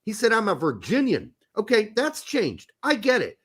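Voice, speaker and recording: A man with a high, very animated voice rants through a sports debate. He swears freely and presses his points hard. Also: normal speed, breathy edge. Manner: profane, ranting, emphatic. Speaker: podcast co-host.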